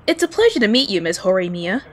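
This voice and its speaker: A woman's voice: medium pitch, big pitch swings, fast. A woman playing a reserved, easily flustered young inspector in an anime-style fan audio drama, polite but terse and dry.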